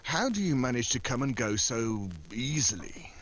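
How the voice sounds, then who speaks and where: An actor playing a glib, flirtatious American secret agent in a 1940s-style radio drama, smooth and wisecracking. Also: male voice, mid-range voice, sing-song, normal speed, a bit bright. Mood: cool, probing.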